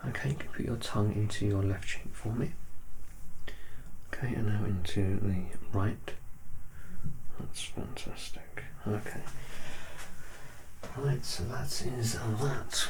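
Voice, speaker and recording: A man with a lowish, gravelly voice speaks softly, sometimes close to a whisper, as an examiner in an ASMR roleplay. He guides the listener gently through each step and reassures them. Clear background noise sits behind the voice. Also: measured pace. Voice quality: soft-spoken, at times near whisper. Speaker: ASMR performer playing a medical examiner. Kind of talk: ASMR roleplay, soft-spoken clinical exam.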